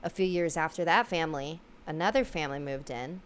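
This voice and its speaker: Young female host, slightly low voice, giggly and chatty, reads stories casually. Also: a little fast, a little hiss or hum. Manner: giggly, filler-heavy chatter between readings. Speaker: host reading viewer stories. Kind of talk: casual read-aloud storytelling.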